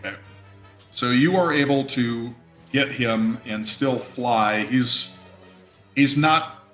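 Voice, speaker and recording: A man works as game master, narrating slowly in a roomy, echoey space. He feels his way through the scene out loud and swears casually, with music playing underneath. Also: medium pitch. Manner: halting, thinking aloud, casually profane. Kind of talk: improvised narration of a tabletop game. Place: indoor room on a live stream.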